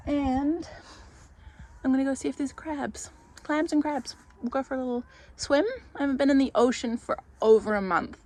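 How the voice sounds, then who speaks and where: A woman's voice: high-pitched, steady tone, moderate pace, darkish tone. A woman vlogging in a casual, chatty way, her voice nasal and stuffed up from a cold. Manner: chatty, savoring, thinking aloud. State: congested with a cold. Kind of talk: casual vlog chat.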